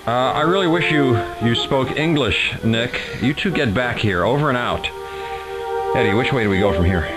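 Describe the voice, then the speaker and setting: An actor playing a weary, sardonic scientist leader in a video game, speaking in a dry, halting way. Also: male voice, medium pitch, natural voice, rough recording. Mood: dry, exasperated. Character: weary, sardonic male scientist leading a stranded team. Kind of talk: video game cutscene acting. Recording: loud music behind, game audio.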